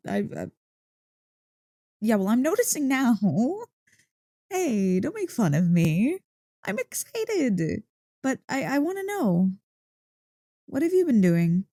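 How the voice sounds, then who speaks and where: A woman voicing an excitable, affectionate dog-girl girlfriend in an intimate ASMR roleplay, playful and easily flustered. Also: a little high, slow, pauses often, no room sound, clean studio sound, heavily acted. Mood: excited, curious. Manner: playful, expressive, mock-indignant teasing. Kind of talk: ASMR roleplay, intimate one-sided dialogue. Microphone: close, dry microphone.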